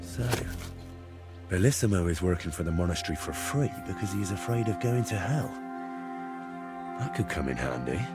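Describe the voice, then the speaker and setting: An actor playing a practical, sceptical young male adventurer in a video game, down-to-earth, with a husky voice. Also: low voice, animated, moderate pace, long pauses, over loud music. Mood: muttering, pondering. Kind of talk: video game character dialogue.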